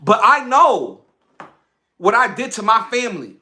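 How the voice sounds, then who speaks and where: A man's voice: high-pitched, very animated, unhurried, bright, forceful tone. A man giving an impassioned, boastful livestream monologue, speaking emphatically and repeating phrases for effect. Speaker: social media content creator on a livestream.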